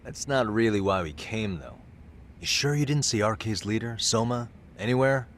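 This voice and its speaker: An actor playing a cool, terse investigator in a video game, talking in a matter-of-fact way. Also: male voice, fairly low pitch, normal speed, a little hiss or hum, natural voice. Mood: probing. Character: cool, businesslike male investigator on the hunt. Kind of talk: naturalistic video game cutscene acting.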